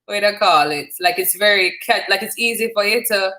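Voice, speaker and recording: A woman's voice: mid-range voice, brisk, pushed and bright. A woman hosting a call-in live stream, chatting casually and encouraging her caller. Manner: friendly, encouraging, chatty with laughs. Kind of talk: casual chat.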